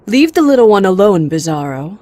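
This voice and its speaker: A woman's voice: medium pitch, sing-song, a little fast, dark tone, no echo, lightly acted. Woman playing a proud stern warrior princess. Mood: stern disdain. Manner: haughty, curt, blunt. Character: proud stern female Amazon warrior, gruff and imperious. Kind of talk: animated comic voice acting.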